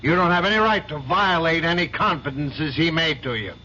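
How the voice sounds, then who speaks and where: A domineering law firm boss in an old radio play, with a fairly high, sharp, forceful voice. He scolds and blusters indignantly. The broadcast is dull and a bit noisy. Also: a little fast. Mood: angry, commanding. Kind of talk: 1970s radio drama acting.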